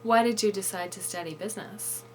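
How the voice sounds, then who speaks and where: A woman's voice: medium pitch, brisk, slight background noise. Woman reading short news, then interviewing. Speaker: host and news reader.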